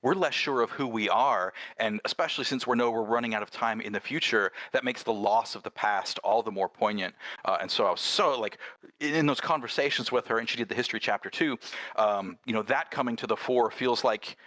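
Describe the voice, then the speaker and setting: Bright-voiced man chatting about game design. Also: mid-range voice, a little fast, dry recording. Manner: enthusiastic, discursive. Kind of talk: casual panel chat.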